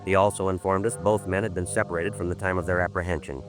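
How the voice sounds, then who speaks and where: Low-voiced actor as terse male detective, rapid clipped delivery, deadpan, dogged, vintage radio crime drama. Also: over music, natural voice. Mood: matter-of-fact. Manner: clipped, matter-of-fact, deadpan. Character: terse, dogged male police sergeant narrating and interrogating. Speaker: detective narrator. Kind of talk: 1950s radio crime drama acting and narration.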